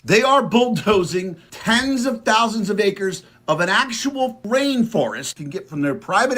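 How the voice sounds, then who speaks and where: A man gives an emphatic opinion monologue in a high voice. His delivery is indignant and very lively, swinging up and down in pitch.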